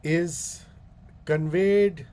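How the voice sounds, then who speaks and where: Slow, booming man with a deep, mellow tone. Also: steady tone. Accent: Indian English. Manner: slow, deliberate delivery with long pauses and repeated demonstrations. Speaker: guest speaker. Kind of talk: public speaking workshop talk.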